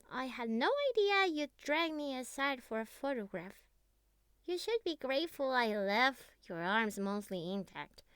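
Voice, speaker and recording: A woman playing a clingy, teasing young catgirl best friend in an intimate roleplay ASMR, speaking softly and cutely with breathy murmurs. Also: high-pitched, dry recording, studio quality, exaggerated voice. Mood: playful, nostalgic. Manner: soft, cutesy, breathy sighs and murmurs, slow. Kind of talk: intimate roleplay ASMR, scripted monologue to the listener.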